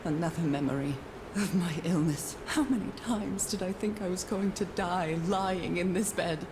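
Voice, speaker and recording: Clear ambient noise sits behind an actress as a 1930s woman in an adventure game. She is ailing, wistful and anxious, musing to herself dramatically in a lowish voice. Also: normal speed, lightly acted. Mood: weary, defiant. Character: ailing 1930s wife searching for her missing husband, weary, wistful, anxious. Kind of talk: scripted video game voice acting, first-person monologue.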